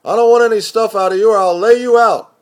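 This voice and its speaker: Brash, foul-mouthed editor played by a male poetry reader, pitched up, blunt and needling. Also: steady tone, fast talker, lightly acted. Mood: angry, barking. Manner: blunt, barking, confrontational.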